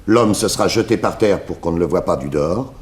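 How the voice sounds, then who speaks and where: A confident, methodical private detective in a 1960s radio drama. His voice is low, and he lays out his reasoning with firm assurance. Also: lively intonation, a little fast. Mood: assured, explaining. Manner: assured, explanatory, crisp deductions. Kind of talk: 1960s French radio serial acting.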